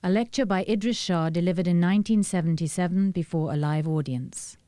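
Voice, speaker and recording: A woman's voice: lowish voice, fairly quick, mellow, no room sound. A woman reading a scripted title announcement as an announcer.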